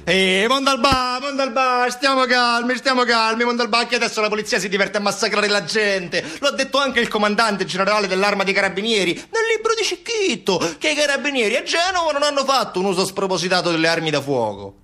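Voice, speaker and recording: A man's voice: sits high, expressive, fairly quick, few pauses, pushed and bright, pitched above the performer's own voice. An actor playing a chatty, sarcastic man in a satirical stage monologue, colloquial and falsely reassuring as he excuses things. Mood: mocking, soothing.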